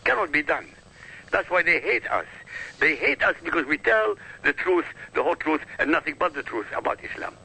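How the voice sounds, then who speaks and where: A man's voice: a little high, big pitch swings, brisk, gravelly, bright, forceful tone, husky, rough recording. A man speaking as a radio guest, a native Arabic speaker giving polemical commentary in an emphatic, oratorical manner.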